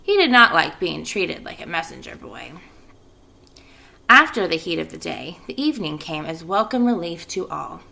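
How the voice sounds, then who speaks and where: A woman's voice: on the low side, sing-song, normal speed, creaky, pushed and bright. A woman speaking as an elementary school teacher, addressing and reading to children. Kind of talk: children's read-aloud and casual class announcements.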